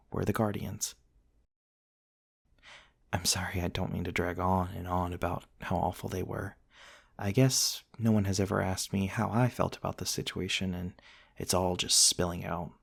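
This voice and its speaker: A man playing a gentle, eccentric vampire prince from the nineteenth century, softly telling his story in an intimate, genteel ASMR roleplay monologue. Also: low voice, gravelly, slightly rough, heavily acted. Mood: apologetic, tender. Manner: soft-spoken, wistful, genteel storytelling with pauses.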